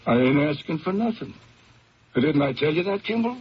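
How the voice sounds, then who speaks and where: A man's voice: on the high side, lively intonation, moderate pace, husky. An actor playing an earnest reformed ex-convict turned businessman, streetwise, ungrammatical and insistent, in a 1970s radio drama. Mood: defensive, insistent. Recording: muffled, noisy 1970s radio broadcast.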